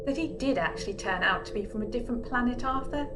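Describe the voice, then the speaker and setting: A woman's voice: fairly high pitch, expressive, fast talker, over music, lightly acted. A woman playing a clever, unflappable young space traveller with a dry, matter-of-fact manner in amateur audio comedy. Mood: cool, wry. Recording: background noise behind the voice.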